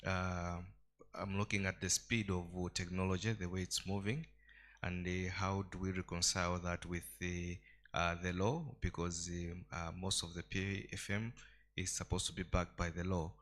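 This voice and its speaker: A man asking a question from the conference floor in a measured, hesitant way with frequent pauses. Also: low voice, level tone, fairly bright tone. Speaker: audience member asking a question. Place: conference hall.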